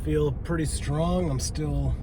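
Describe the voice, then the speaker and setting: Stuffy-nosed guy in his 30s talking to himself outside the gym, lots of um and you know, cars rumbling loud behind. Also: fairly even intonation, takes it slowly. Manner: loose, rambling self-talk with lots of fillers and swearing. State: congested. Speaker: vlogger. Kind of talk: casual post-workout vlog. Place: outdoors by a road, leaving the gym. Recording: loud traffic noise outside. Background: traffic rumble.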